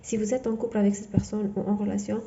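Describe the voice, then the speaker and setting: A woman whose first language is not French, giving a tarot reading in a halting way full of fillers and restarts. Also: mid-range voice, flat delivery, creaky, warm tone, dull audio. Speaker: tarot reader.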